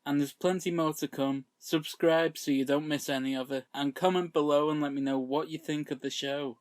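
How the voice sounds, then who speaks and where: A man hosting a comic TV recap vlog, giggly and self-mocking, on a makeshift low-grade mic. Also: no room sound. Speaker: YouTube TV reviewer.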